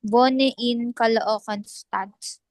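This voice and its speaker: Slow, careful Filipino woman in her twenties. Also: a little high, fairly even intonation, no room sound. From Philippines. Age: 20s. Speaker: student.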